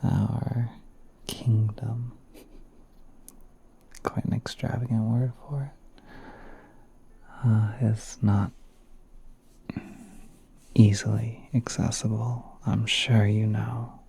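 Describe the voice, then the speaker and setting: A man playing a gentle, courteous, shy spider prince in an ASMR roleplay, soft and breathy, often whispering, with polite, hesitant musing. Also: mid-range voice, little pitch movement, takes it slowly, pauses often, exaggerated voice. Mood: caring, earnest.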